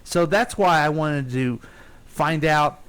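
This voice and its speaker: A man's voice: on the high side, takes it slowly, a bit bright, clear, resonant tone. A man hosting a casual show, bantering and asking questions in a playful, teasing way. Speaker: radio show host.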